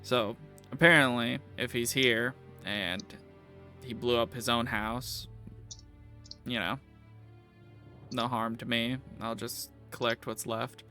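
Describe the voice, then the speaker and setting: A man narrating a tabletop game as game master, improvising with a halting, deliberate delivery. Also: mid-range voice, fairly even intonation, sharp tone, faint music behind.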